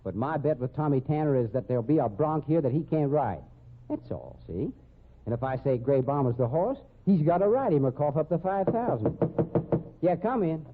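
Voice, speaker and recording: An actor playing a scheming male rodeo gambler in a 1940s radio drama, with a gruff, slangy drawl. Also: on the high side, animated, moderate pace, slight background noise, close to an everyday voice. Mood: smug. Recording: tinny old radio broadcast.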